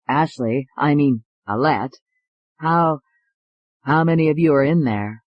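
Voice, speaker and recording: A narrator voicing a calm male psychiatrist with a slow, soothing manner in an audiobook. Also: on the high side, no echo, lo-fi sound. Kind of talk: audiobook character voice.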